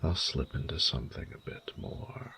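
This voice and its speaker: A man's voice: moderate pace, background noise. A man voicing a huge, proud male dragon in a romantic audio roleplay, doting and teasing, with formal, courtly phrasing and intimate murmurs. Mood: warm, inviting. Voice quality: very deep, gravelly, breathy murmurs.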